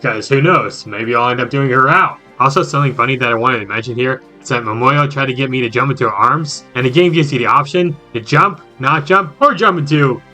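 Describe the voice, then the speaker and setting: A man's voice: mid-range voice, a little fast, hardly any pauses, pushed and bright, faint music behind. A man giving a casual, scripted recap of a video game in a jokey, slangy way with exaggerated reactions.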